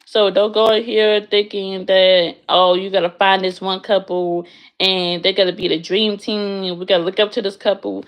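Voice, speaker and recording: A woman's voice: mid-range voice, little pitch movement, moderate pace. A young woman from the United States in her bedroom, chatting casually and bluntly with her livestream viewers. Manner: blunt, frank, swears freely. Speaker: livestreamer answering chat.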